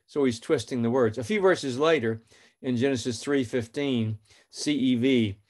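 An earnest middle-aged man hosts a faith podcast from a small indoor room, speaking straight to camera. His voice sits at an ordinary pitch, and he tells his story plainly, stopping now and then to read scripture. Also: moderate pace, a little mellow. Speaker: podcast host.

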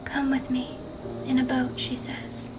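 Whispery, slow young woman reading. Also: high-pitched, completely flat delivery, distant mic, rough recording. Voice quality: breathy, whispery. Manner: hushed, slow, deliberate. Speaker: reader of a book excerpt. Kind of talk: intimate literary reading. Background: music.

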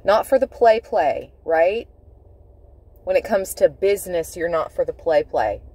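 A woman giving an intuitive tarot reading, speaking in deliberate, halting phrases with emphatic repetition. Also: on the low side, animated, dark tone. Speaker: tarot reader. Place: inside a parked car.

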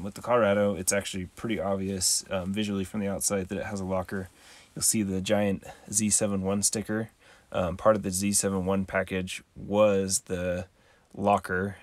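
A man, the host, giving a calm, methodical voice-over tutorial on a close mic. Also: low voice, dry recording. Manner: calm, methodical, measured explaining. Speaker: channel host.

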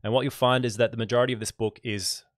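A man in his thirties, slightly nasal and congested with a cold, casually presenting on a livestream in an unhurried, conversational way into a close microphone. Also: medium pitch, flat delivery, no echo. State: congested from a cold, scratchy throat. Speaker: photography channel host. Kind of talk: casual livestream book review. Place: small home room, indoors. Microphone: large microphone close on a boom arm.

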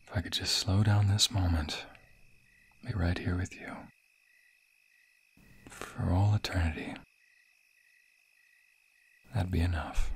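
A man acting a sweet, bashful young waiter on a romantic date in an ASMR roleplay, with a soft, breathy, playful and hesitant delivery. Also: low-pitched, fairly even intonation, slow pace, long pauses, a little hiss or hum. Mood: tender, hushed. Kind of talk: ASMR roleplay, intimate scripted boyfriend monologue.

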